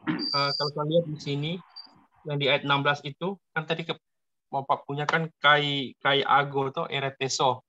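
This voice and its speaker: A male student with an Indonesian accent asks questions in a bright, forceful voice that sits a little high. He pauses to find his words. The audio is slightly lo-fi, like an online call. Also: little pitch movement. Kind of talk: asking questions in class.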